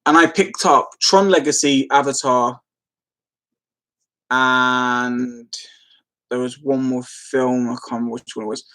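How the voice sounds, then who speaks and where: A man's voice: medium pitch, steady tone, measured pace, pauses often, sharp tone, rough recording. A man hosting a casual live stream, rambling as he replies to comments and easily amused. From London, England.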